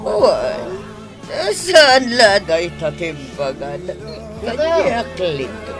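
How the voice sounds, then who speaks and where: An actor playing a scheming, flustered middle-aged mother who runs a beer house, a woman with an Ilocano accent and halting, theatrical delivery in a radio drama. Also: lowish voice, wildly swooping pitch, loud music behind the voice, exaggerated voice. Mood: evasive, agitated.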